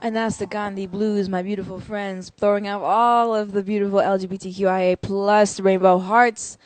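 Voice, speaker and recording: A young adult woman speaking casually to livestream viewers from home between songs, relaxed and chatty with fillers. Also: medium pitch, normal speed, a little hiss or hum. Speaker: musician performing a livestream show. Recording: loud music over the voice. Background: music.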